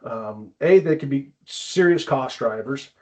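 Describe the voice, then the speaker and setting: A man's voice: medium pitch, lively intonation, unhurried, darkish tone. A man giving an informal explanatory presentation in a conversational way, on slightly dull lo-fi audio.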